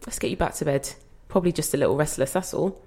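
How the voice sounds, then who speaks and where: A woman's voice: sits low, quick pace, warm tone, husky. A young woman acting in an audio play as a caring, anxious granddaughter, coaxing and earnest. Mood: reassuring.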